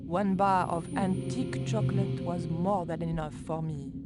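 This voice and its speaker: A woman's voice: fairly low pitch, fairly even intonation, normal speed, natural voice. A woman playing a wry young adventurer who narrates her own actions in dry, understated game dialogue. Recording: loud game music under the voice.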